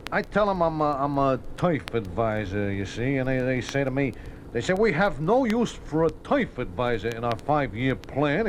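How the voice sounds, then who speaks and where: An American actor playing a folksy, defensive racetrack bookie in a 1950s radio drama, talking in streetwise slang with stammered repetitions. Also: male voice, mid-range voice, lightly acted. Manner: streetwise slangy talk, hesitant repetitions, aggrieved. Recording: noisy old radio broadcast recording.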